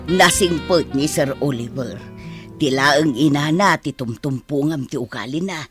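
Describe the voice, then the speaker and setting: An actress playing a gossipy, bossy but kindly household helper woman with a husky voice in an Ilocano radio drama. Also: sits low, moderate pace, over music, close to an everyday voice. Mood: confiding.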